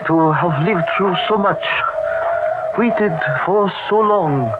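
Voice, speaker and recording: An actor playing a weary, cautious older Indian resistance leader in a radio drama, formal and measured. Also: male voice, high voice. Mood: uneasy. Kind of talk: old-time radio drama acting. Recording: noisy, thin, muffled old radio recording.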